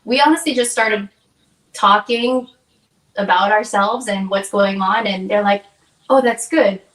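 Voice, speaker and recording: A woman's voice: mid-range voice, unhurried, a bit bright, recorded from a distance. A chatty young woman from Hawaii talks as a guest singer-songwriter and acts out the things people said to her. She sits back from the mic, and her voice rings around an echoey room. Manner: upbeat, chatty, acts out quoted exchanges. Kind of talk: casual interview chat.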